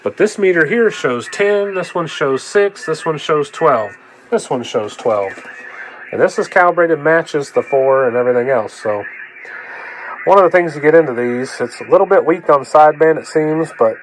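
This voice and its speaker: A man's voice: a little high, animated, moderate pace, rough recording. A man with a cold talks in a plain-spoken, rambling, folksy way through a casual hands-on demonstration. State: coming down with a cold. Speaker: vlogger demonstrating a repaired radio. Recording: clear background noise.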